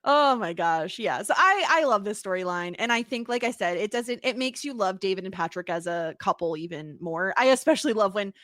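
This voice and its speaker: A woman in her thirties with an upstate New York accent, co-hosting a podcast in casual chat, bubbly, laughing often and saying "like" a lot, with a slight vocal fry. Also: medium pitch, expressive, fairly quick, hardly any pauses, fairly bright tone, no room sound, studio quality. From United States. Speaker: podcast co-host.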